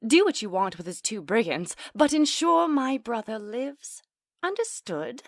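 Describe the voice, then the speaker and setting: A woman playing a secretive, commanding noble bride in a fantasy audio drama, speaking in a hushed, firm, formal way. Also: a little high, sing-song, a little slow, dry recording, studio quality. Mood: quietly commanding.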